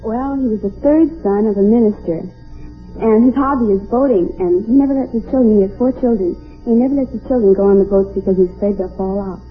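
A woman's voice: mid-range voice, fast talker. An American woman answering chattily and earnestly as a quiz show contestant. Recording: tinny old radio recording. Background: music and studio audience.

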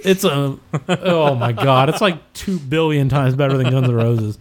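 A man's voice: medium pitch, unhurried. An American man chatting casually on a podcast, giving opinions in an informal, emphatic, slangy way. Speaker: podcast co-host.

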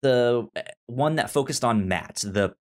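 A man co-hosting a casual TV discussion podcast, speaking haltingly with stammered, repeated syllables and words. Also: mid-range voice. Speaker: podcast co-host.

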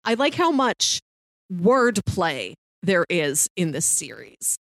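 A woman's voice: lowish voice, very animated, takes it slowly, a bit bright, no echo, clean studio sound. A woman co-hosting a casual film discussion, thinking aloud in looping phrases. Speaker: podcast co-host discussing films.

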